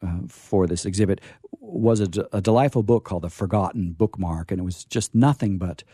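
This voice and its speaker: A man's voice: deep voice, brisk, mellow, breathy edge, no echo, studio quality. A man hosting an informal live talk, hesitant and repeating his phrases, with playfully formal turns. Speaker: library staffer hosting a discussion.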